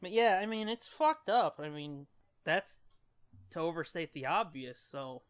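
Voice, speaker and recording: A man's voice: on the high side, animated, unhurried, smooth, no room sound. American man, podcast co-host, casual storytelling, irreverent, foul-mouthed, joking, dry recording.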